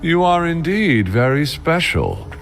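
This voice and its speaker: A man's voice: fairly high pitch, wildly swooping pitch, a little slow, gravelly, full character voice. An actor playing a theatrical, taunting, menacing villain in a dramatic video game, drawing out his words mockingly. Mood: gloating. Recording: loud game music behind. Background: loud music.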